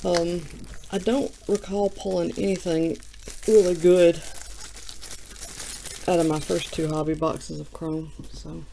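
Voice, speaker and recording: Gravelly-voiced woman, just woken up. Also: steady tone, slow, long pauses, mellow, a little hiss or hum, poor audio. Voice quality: low, gravelly morning voice. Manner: chatty and self-mocking, reading off card names. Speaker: card breaker. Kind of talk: casual card-opening vlog.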